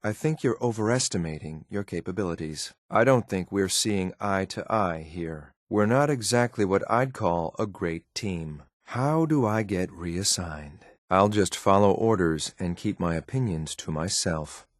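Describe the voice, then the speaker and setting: Cool, lethal android soldier for a video game: low voice, clipped military deadpan, very dry close recording. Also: male voice, unhurried, hardly any pauses, dry recording. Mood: cold, disapproving. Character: stern, loyal synthetic courser soldier, cool and lethal. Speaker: combat companion in a video game. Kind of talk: scripted video game voice lines.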